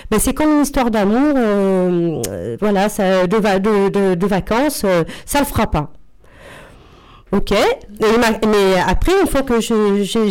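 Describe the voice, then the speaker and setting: Panel guest: woman, pronounced Marseille accent, blunt storyteller, mid-pitched. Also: darkish tone. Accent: Marseille French. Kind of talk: casual debate.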